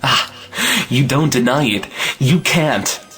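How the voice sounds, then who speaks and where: A man's voice: medium pitch, slow, sharp tone, faint music behind. A man playing a passionate, jealous young suitor, earnest and anguished, in a melodramatic audio play. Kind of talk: melodramatic audio drama acting of a 1917 play.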